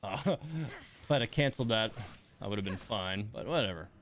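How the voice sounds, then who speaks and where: A man's voice: on the low side, lively intonation, a little fast, a bit bright. A man giving casual live game commentary as a streamer, thinking aloud in a laid-back way.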